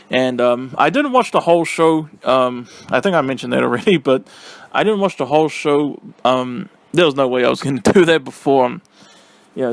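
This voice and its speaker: Male vlogger, average pitch, loose rambling reviewer with a goofy, hesitant delivery, very little room sound. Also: creaky, no room sound. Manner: silly, giggly, easily cracks up. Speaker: vlogger giving film and game reviews. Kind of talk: casual rambling spoken review.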